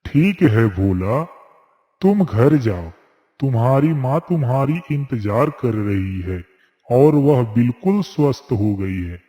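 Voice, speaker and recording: A man's voice: mid-range voice, lively intonation, slow pace, dark tone. A man voicing a kindly, wise magical tree in a cartoon moral story, speaking in a solemn, grand manner. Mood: kindly, reassuring. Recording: long cavernous reverb.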